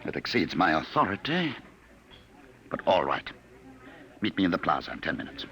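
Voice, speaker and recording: A deep, cold menacing agent. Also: male voice, big pitch swings, normal speed. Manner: smooth, patient, quietly threatening. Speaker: Sims, time-travel enforcer. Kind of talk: 1950 radio drama acting.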